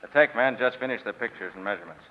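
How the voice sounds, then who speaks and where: Echoey, tinny radio, actor as brisk police sergeant. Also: male voice, on the low side, close to an everyday voice. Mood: dutiful. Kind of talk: old-time radio drama acting. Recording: thin band-limited vintage radio, long reverb.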